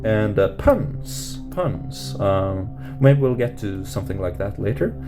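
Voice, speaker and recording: A man teaching meditation off the cuff, rambling with many fillers and restarts and lifting his pitch at the end of questions. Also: sing-song, takes it slowly. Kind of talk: unscripted teaching talk. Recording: music playing under voice. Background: guitar music.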